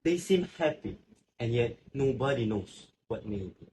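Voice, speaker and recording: A man's voice: medium pitch, animated, measured pace, warm tone, close to an everyday voice, rough recording. A man playing a grave, watchful witness in a literary stage play. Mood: quiet, watchful. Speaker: student actor. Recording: faint background noise.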